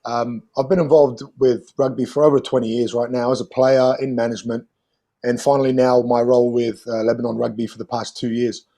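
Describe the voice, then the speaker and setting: A man with a mixed, New Zealand-tinged English accent, speaking as a guest on a video call, relaxed and quick to laugh. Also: mid-range voice. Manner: relaxed, laughing, says mate and bro. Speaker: guest, rugby union chief.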